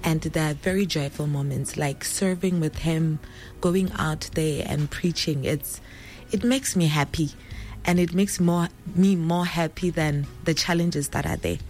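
A woman with a Setswana accent and a naturally loud voice, a pastor's wife telling her story in a casual interview and mixing Setswana words into her English. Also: low-pitched, a little slow, soft background music.

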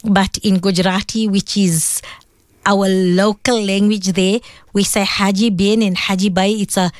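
A woman's voice: medium pitch, flat delivery, a little slow, no echo, studio quality. A middle-aged woman with a South African accent, interviewing as host in a conversational way and adding personal reflections.

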